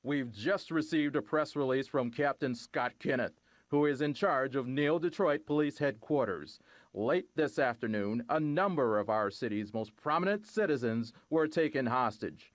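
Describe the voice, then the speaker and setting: A man playing a formal male television news anchor reading bulletins in a crisp, even delivery. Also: medium pitch, moderate pace, no echo, lo-fi sound, lightly acted.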